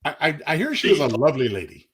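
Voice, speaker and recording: A man's voice: on the high side, sing-song, fast talker, a bit bright, slightly rough. A man co-hosting a show in casual, conversational chat. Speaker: co-host.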